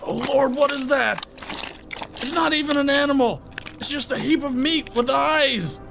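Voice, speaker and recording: A man playing a wary, gravelly crook selling meat in a horror comedy audio drama. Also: very high-pitched, normal speed, music in the background. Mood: frantic. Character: wary gangster meat dealer Benny, older brother's sidekick.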